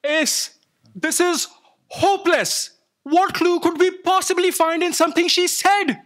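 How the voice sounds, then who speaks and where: Exasperated sidekick for stage sci-fi comedy, played by a man: very high-pitched, hesitant, easily defeated. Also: fairly even intonation, a little slow, fairly bright tone. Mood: exasperated, hopeless. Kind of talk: staged audio drama comedy. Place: on stage in a theatre. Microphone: stand microphone.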